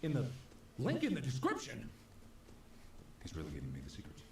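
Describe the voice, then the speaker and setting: Male gamer commentary, very slow and animated, distant roomy sound. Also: mid-range voice. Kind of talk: casual commentary. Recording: roomy, distant, faint noise.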